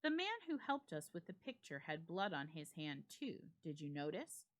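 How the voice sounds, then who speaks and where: Woman reading as an uneasy gentleman, animated pitch. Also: normal speed. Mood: uneasy, intrigued. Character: uneasy, puzzled gentleman host in an old ghost story.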